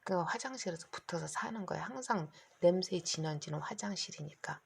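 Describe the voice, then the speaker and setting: Hoarse, gravelly low-voiced middle-aged woman. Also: animated, a little fast. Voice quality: gravelly, husky. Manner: rambling, anxious, talks in long run-on stretches. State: hoarse, keeps clearing throat. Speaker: vlogger. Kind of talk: casual monologue to camera. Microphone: phone.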